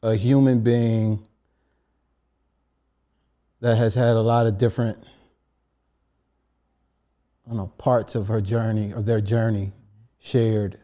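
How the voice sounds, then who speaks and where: A nervous man hosting an interview podcast, talking in a hesitant, halting, soft-spoken way. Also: lowish voice, flat delivery. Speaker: podcast host. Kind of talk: interview conversation.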